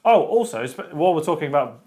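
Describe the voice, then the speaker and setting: A man's voice: fairly high pitch, very animated, fast talker, darkish tone. A man co-hosting a casual book discussion, speaking in a blunt, opinionated way. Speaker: book discussion show co-host. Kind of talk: casual opinionated chat about books.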